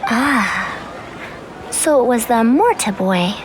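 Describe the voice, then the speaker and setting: Haughty, high-voiced senior scholar. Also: female voice, animated, slow pace, loud music behind the voice, low-quality recording. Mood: flustered. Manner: haughty, old-fashioned formal speech. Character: proud female senior scholar, an elder with a youthful voice. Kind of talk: animated game voice acting.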